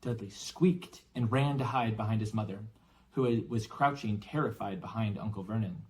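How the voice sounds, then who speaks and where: A man's voice: fairly low pitch, normal speed, a little mellow, low-quality recording. A man reading a children's novel aloud as scripted audiobook narration.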